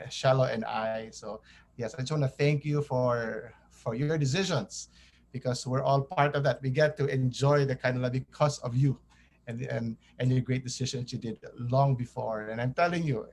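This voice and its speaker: A man's voice: mid-range voice, fairly even intonation, normal speed, gravelly, a little mellow, a little hiss or hum. A man from the Philippines with a Filipino accent, answering casually as an interview guest. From Cebu, Philippines.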